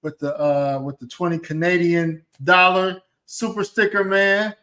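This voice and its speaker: A man's voice: high-pitched, level tone, unhurried, pushed and bright. A man hosting a casual podcast, often stumbling and repeating words as he starts a point. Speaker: podcast host.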